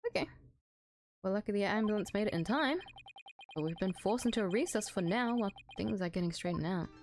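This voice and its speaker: A woman's voice: mid-range voice, fast, darkish tone. An Australian woman chatting casually while streaming a game from home, giggly and self-deprecating, often tripping over her words. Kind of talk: casual let's-play commentary. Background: faint background music.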